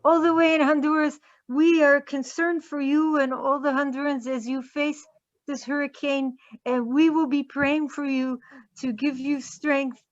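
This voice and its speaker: A woman with a high voice speaks as an event host, offering formal thanks. The sound is dry and somewhat dull, as on a video call. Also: flat delivery, measured pace, no room sound.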